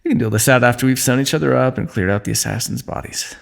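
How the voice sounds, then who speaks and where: A man voicing a wry, wounded fantasy ruler who teases an old comrade in a calm, dry way, in an ASMR roleplay. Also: medium pitch, fast, vocal fry. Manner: dry, teasing, calm understatement.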